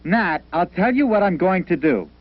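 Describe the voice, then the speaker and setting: Animated, high-voiced cartoon banker. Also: male voice, normal speed, no echo. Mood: businesslike. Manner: musing aloud, businesslike. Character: earnest inventive male village banker in an educational cartoon. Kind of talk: 1970s educational cartoon acting. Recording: dull old 1970 film soundtrack.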